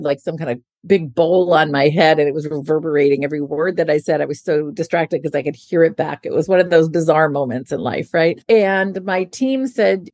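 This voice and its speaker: A woman's voice: lowish voice, a little mellow, dry recording, clean studio sound. A middle-aged woman hosting a podcast, speaking earnestly and encouragingly straight to the listener in a motivational talk. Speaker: podcast host and leadership advocate.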